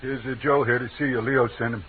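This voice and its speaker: A man's voice: mid-range voice, fairly even intonation, fast, close to an everyday voice. An actor playing a pushy, dim-witted gangster henchman with brash tough-guy talk in a 1940s radio drama. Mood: dim, affronted. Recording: tinny noisy old radio recording.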